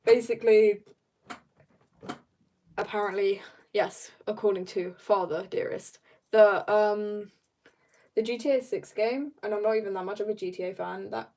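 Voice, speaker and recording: A woman's voice: mid-range voice, fairly even intonation, normal speed, pauses often, dark tone. A young woman chatting casually on an art livestream, rambling and slangy as she jumps between asides in a giggly way. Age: teenager or young adult. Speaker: streamer drawing and chatting.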